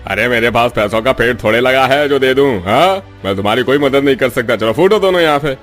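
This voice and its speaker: A man's voice: mid-range voice, animated, brisk, fairly bright tone, faint music behind, lightly acted. Man acting a curt, stingy cartoon boss. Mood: dismissive. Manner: dismissive. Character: curt, stingy boss refusing his workers.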